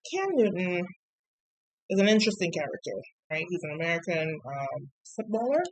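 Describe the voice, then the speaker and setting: A woman's voice: lowish voice, sing-song, moderate pace, poor audio. A young woman from Trinidad and Tobago with a light Trinidadian accent that comes and goes, chatting casually in an opinion vlog, often tagging "right?" and pronouncing words carefully because of a speech impediment. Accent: Trinidadian, light and coming in and out. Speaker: YouTuber.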